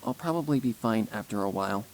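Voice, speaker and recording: A guarded, sullen young pony mare, read by a man for a dark storybook tale. The delivery is quiet, hesitant and muttered, with faint noise in the recording. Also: lowish voice, lively intonation, fast, rough recording. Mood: annoyed, subdued.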